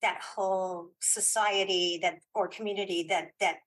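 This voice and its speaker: Middle-aged woman chatting in halting phrases. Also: mid-range voice, low-quality recording. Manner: animated opinions in halting, repeated phrases. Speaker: guest, playwright and audio drama creator. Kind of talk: casual interview chat.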